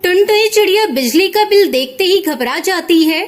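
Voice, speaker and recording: A woman's voice: very high-pitched, lively intonation, normal speed, faint music behind. A woman narrating a scripted cartoon story in a storybook style.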